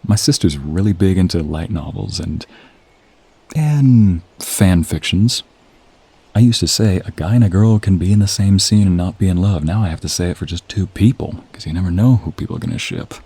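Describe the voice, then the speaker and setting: Male actor as smooth-talking office crush for romantic audio role play: low, husky, confident, teasing. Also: very animated, normal speed, dark tone, no room sound, heavily acted. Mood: playful, flirty. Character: flirty, confident male office coworker asking out a friend.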